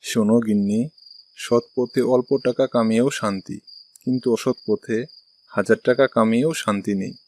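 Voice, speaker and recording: A man's voice: fairly low pitch, fairly even intonation, moderate pace, darkish tone, natural voice. A man voicing an ice cream seller and husband in a cartoon story. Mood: earnest, patient. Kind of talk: Bengali cartoon dialogue.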